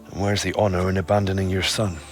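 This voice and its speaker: A man acting as a young medieval man in a video game drama, his voice sitting a little low. He is earnest and plain-spoken, brooding over revenge, with soft music underneath. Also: level tone, fast, natural voice. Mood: indignant. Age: young adult. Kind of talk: dramatic game cutscene dialogue.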